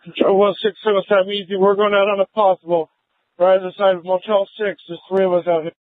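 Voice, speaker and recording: A man's voice: high-pitched, little pitch movement, fast talker, dry recording. A male police officer giving status reports over a police radio. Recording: tinny narrowband police scanner radio audio.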